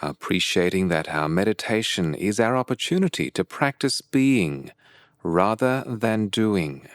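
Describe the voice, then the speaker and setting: A man leading a guided meditation as a teacher, with calm, measured and deliberate phrasing broken by pauses. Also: on the low side, dry recording, clean studio sound.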